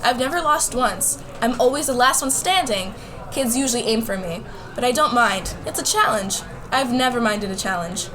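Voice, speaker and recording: High-voiced high school girl narrator, voiced by a woman. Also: expressive, moderate pace, a crowd in the background, lightly acted. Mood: narrating. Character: bold, competitive high school girl telling her story. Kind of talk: scripted first-person radio drama narration.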